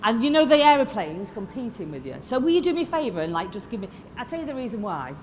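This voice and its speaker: A woman in her fifties with a Yorkshire accent, giving a blunt, lively motivational talk to a live audience. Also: fairly high pitch, fast talker, slight background noise. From Lancashire, England. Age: 50s. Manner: lively, blunt and swearing, asks the crowd questions. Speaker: motivational speaker. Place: expo stage before a live audience.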